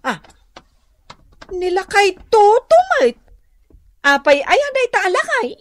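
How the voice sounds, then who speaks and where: A woman's voice: extremely high pitch, slow, full character voice. An actress playing a dramatic, teasing village woman in an Ilocano radio comedy, with theatrical delivery and an Ilocano accent. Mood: flustered, whining. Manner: theatrical, expressive.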